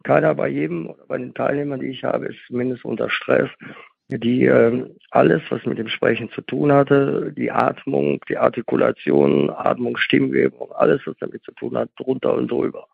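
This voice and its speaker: A man with a husky voice, hosting a conversational interview as a therapist and explaining emphatically through questions he answers himself. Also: medium pitch, moderate pace, no room sound. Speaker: host and stuttering therapist. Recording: phone-like, band-limited sound.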